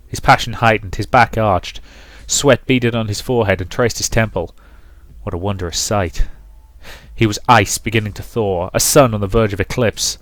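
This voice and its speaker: A man with a fairly low voice, recorded close and dry. He hosts a visual novel playthrough, switching between dramatic narration and casual spoken commentary. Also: no room sound. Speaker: let's play host reading a visual novel.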